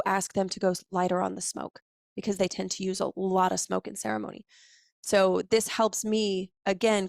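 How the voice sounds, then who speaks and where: A woman coach explaining her client intake form in a conversational teaching tone, pausing to correct herself as she scrolls. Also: mid-range voice, dry recording. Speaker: coach and facilitator. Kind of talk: instructional walkthrough.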